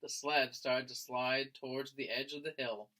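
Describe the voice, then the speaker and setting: A man, a teacher, reading a picture book aloud to young children in an expressive storytelling style. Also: medium pitch, low-quality recording. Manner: expressive, slow, addressing listeners as friends.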